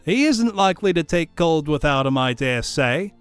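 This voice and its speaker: A man's voice: fairly high pitch, lively intonation, normal speed, clear, resonant tone, no echo, faint music behind, voice pushed up, lightly acted. A man voicing a brazen, scornful charwoman in a storybook reading, coarse, gloating and emphatic. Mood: brazen, coolly defiant. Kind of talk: storybook character voice.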